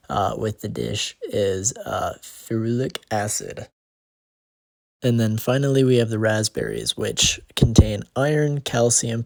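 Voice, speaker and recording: A young man talks through a simple dish for a cooking video. A cold leaves him nasal and raspy, with a gravelly, fairly low voice. He halts often on ums and uhs, and the sound is dry and close. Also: darkish tone, dry recording. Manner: hesitant, many ums and uhs, lists nutrients. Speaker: student making a cooking video. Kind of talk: casual explanatory cooking vlog.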